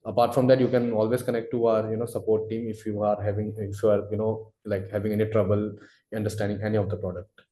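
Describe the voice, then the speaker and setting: A man answering webinar questions as a product expert, explaining in a halting, wordy way full of verbal fillers. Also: fairly low pitch, little pitch movement, warm tone, slight background noise. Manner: halting, wordy, repeats filler phrases "you know". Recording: roomy, echoey webinar audio.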